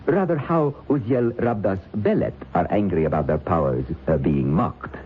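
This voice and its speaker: An actor in an old radio drama playing a menacing, self-assured occult author with formal, deliberate, condescending speech. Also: male voice, deep voice, expressive. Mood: ominous, lecturing. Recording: muffled, noisy old radio broadcast.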